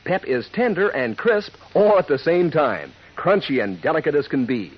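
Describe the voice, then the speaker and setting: A man delivering a chummy, folksy sales pitch to kids as a 1940s radio announcer. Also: very animated, normal speed. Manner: chummy, folksy salesman patter addressed to children. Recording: dull old radio broadcast recording.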